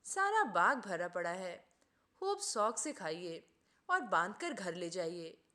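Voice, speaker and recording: A woman voicing an indignant gentleman doctor from a storybook, in a dramatic, formal, emphatic manner. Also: lowish voice, sing-song, slow, pitched below the performer's own voice, full character voice. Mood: aggrieved. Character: indignant, melodramatic gentleman doctor, master of an orchard.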